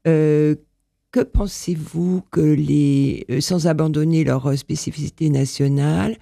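A man interviewing a guest, asking questions in a measured, hesitant way. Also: fairly high pitch, fairly even intonation, creaky, dark tone, dry recording, clean studio sound.

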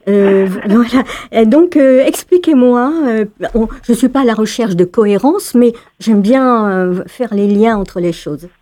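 A woman's voice: on the high side, measured pace, few pauses, warm tone. A woman interviewer on the radio asking long, winding questions with frequent fillers.

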